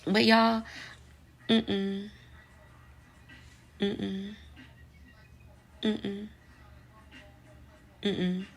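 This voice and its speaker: A woman's voice: mid-range voice, flat delivery, very slow, pauses often. A young adult woman with a New York accent, chatting casually and directly to her viewers in an advice vlog, with lots of "like" fillers. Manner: chatty, speaks straight to viewers, many "like" fillers. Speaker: vlogger.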